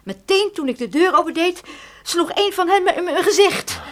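A woman acting a shaken, indignant motel night porter who has been hurt, halting and aggrieved, in a radio play. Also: very high-pitched, expressive, fairly bright tone.

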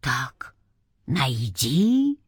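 A woman voicing an ancient male undead forest demon in a storybook, with a deathly, hushed, insinuating and mocking delivery. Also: fairly low pitch, expressive, no room sound, studio quality. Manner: slow, mocking, menacing.

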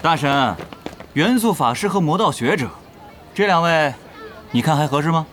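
Snarky young gamer dude in audio drama, high voice, swoopy and doubting, music behind. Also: male voice, lively intonation, normal speed, a bit bright, a faint crowd. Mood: mocking. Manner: mocking, incredulous, dramatic. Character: skeptical, sarcastic young male online gamer.

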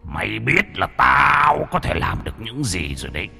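A man voicing a cruel old sorcerer who speaks in a low, hushed, threatening way. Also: big pitch swings, moderate pace, creaky, heavily acted. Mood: menacing. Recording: faint music behind.

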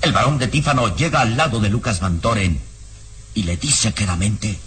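A man acting as the solemn, suspenseful narrator of an old radio drama, in a measured delivery. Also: fairly low pitch, a bit bright, a little hiss or hum, lightly acted. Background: dramatic music.

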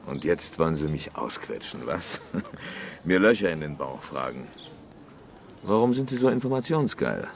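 A man's voice: rumbling low, very animated, unhurried, creaky, slight background noise, heavily acted. An actor playing a self-righteous, hostile village hunting-club man in a radio crime drama, blustering and hemming. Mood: evasive, sneering. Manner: hesitant fillers, sneering chuckles, menacing bluster.

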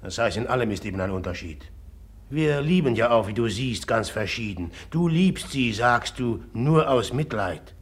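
A man's voice: medium pitch, animated, moderate pace, breathy edge. An actor playing a jealous, tormented young man in a 1950s German radio drama, brooding and bitter with sudden agitated outbursts. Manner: brooding, swings from whispering bitterness to bursts of agitation.

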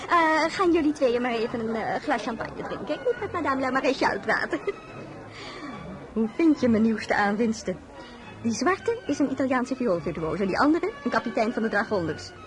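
An actress playing a flirtatious, frivolous princess in a radio drama, gushing, giggly and theatrical. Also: female voice, fairly high pitch, animated, normal speed, over music, lightly acted. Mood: gushing, playful.

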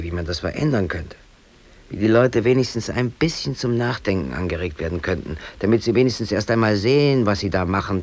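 Radio drama lead: male actor as brooding East German working man, late thirties, lowish voice, obsessive and embittered, talking to himself in swings from mutter to outburst. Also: natural voice. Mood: bitter, agitated. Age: late 30s. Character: aggrieved East German factory worker and obsessive hobby photographer. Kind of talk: radio drama interior monologue.

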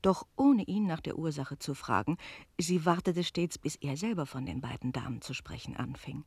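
An actress in a German radio play voicing a lively innkeeper woman who tells a tale with articulate, expressive delivery. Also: low voice, moderate pace, slightly rough, dry recording. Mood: knowing irony.

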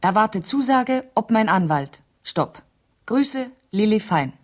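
A woman playing a resolute, curt wife dictating a telegram with deliberate phrasing in a radio play. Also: mid-range voice, expressive. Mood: firm.

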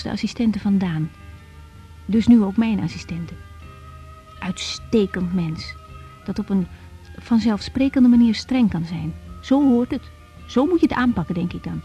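An actress playing a reflective, self-doubting young doctor in a radio drama, musing inwardly as in a diary. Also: female voice, mid-range voice, warm tone, natural voice. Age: young adult. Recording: dull, band-limited sound.

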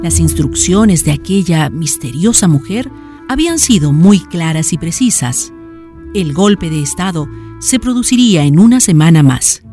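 Lowish-voiced woman narrating radio drama. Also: dark tone.

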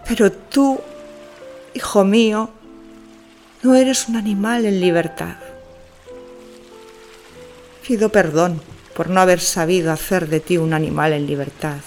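An obsessive, grieving mother played by a woman delivers a literary audio drama monologue. She speaks very deliberately, with intimate, theatrical hush, over a music bed. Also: on the low side. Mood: possessive, anguished. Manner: slow, theatrical, hushed and intimate. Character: Mrs. Caldwell, obsessive grieving mother addressing her son.

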